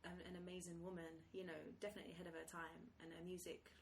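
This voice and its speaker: Quick chatty woman guest, bright voice, bit of hiss behind her. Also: lowish voice, level tone, far from the mic. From England. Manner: enthusiastic, lots of fillers. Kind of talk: casual chat.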